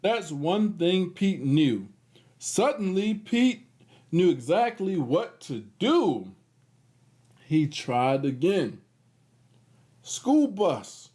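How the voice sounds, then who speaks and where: A man's voice: high voice, big pitch swings, extremely slow, pauses often, warm tone. A man hosting a children's read-aloud, reading a picture book expressively with casual, encouraging asides.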